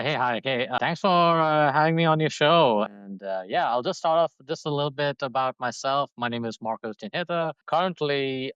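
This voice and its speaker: A man's voice: medium pitch, a bit bright, smooth, no echo, dull audio. A man from Dubai in his thirties, a podcast guest talking casually and rambling, with lots of fillers and restarts. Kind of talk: casual interview talk.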